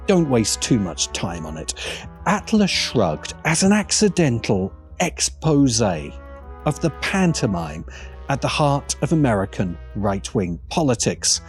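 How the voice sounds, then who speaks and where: A man delivering scripted, opinionated commentary in an emphatic, sardonic way. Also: medium pitch. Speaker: video essayist. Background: music.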